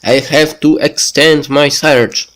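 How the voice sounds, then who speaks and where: A man who is a bit sick hosts a let's play, reading a visual novel script aloud in a halting, stumbling way. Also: fairly high pitch, steady tone, a bit bright. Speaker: let's play video host. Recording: music behind the voice. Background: background game music.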